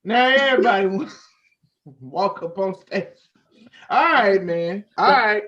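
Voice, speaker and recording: A man's voice: sits high, animated, a little slow, fairly bright tone, highs cut off. A man co-hosting a talk show with casual, playful banter, repeating phrases for emphasis.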